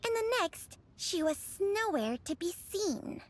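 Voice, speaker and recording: An actress playing a serene, formal, quaintly old-fashioned young woman in a video game, calm and deliberate. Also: extremely high pitch, heavily acted. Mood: earnest.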